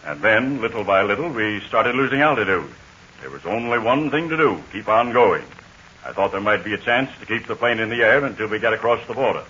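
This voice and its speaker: An actor playing a steady army major recounting a crash landing, in 1940s radio acting style. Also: male voice, lowish voice, brisk. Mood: earnest. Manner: hearty, earnest storyteller. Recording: muffled old radio recording with faint hiss.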